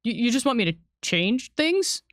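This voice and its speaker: A woman's voice: on the high side, very animated, normal speed, no echo, studio quality. A woman speaking as a game designer in an unscripted panel chat, explaining things informally. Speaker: game designer, former editor.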